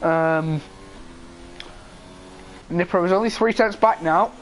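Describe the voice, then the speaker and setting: A young man calls an online race in a loose, casual way, his voice hoarse and fading as he keeps clearing his throat. His voice sits a little high for a man. A faint hiss runs under the recording. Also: takes it slowly, low-quality recording. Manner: casual, frequent throat clearing and filler ums. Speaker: race commentator. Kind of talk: esports race commentary.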